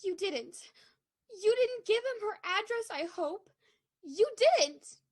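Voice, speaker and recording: A very high-pitched, frantic young woman in an old-fashioned radio drama. Her outcries are drawn out slowly and swoop widely. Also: animated, full character voice. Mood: horrified. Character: frightened, tearful, high-strung young woman. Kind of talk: 1940s radio-play acting.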